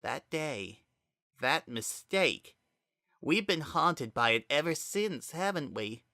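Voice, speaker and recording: Possessing child spirit played by an adult narrator for a dramatic story reading: pitched well up, hollow, fervent menace under coaxing calm. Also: no echo, studio quality, exaggerated voice. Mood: wistful, sinister. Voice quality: maddened, hollow tone. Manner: slow, theatrical, coaxing monologue with deliberate pauses. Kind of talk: dramatic reading of fan fiction.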